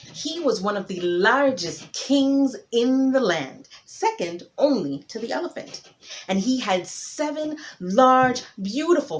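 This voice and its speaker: A woman narrating a folktale as a storyteller, with theatrical emphasis and dramatic pauses. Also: mid-range voice.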